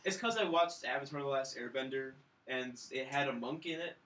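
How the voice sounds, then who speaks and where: A man's voice: mid-range voice, a little fast, a bit bright. A young man co-hosting a movie podcast, chatting casually and jokingly. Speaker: movie review podcast co-host. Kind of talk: casual joking film chat. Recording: echoey room, distant from the mic.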